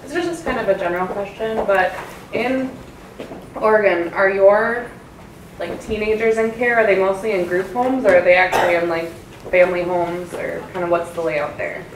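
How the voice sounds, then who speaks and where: A woman's voice: mid-range voice, fairly even intonation, normal speed. A woman in a lecture audience asking a casual question in a tentative, informal way, picked up off-mic. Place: lecture room audience, indoors. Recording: echoey, noisy room, off-mic from audience.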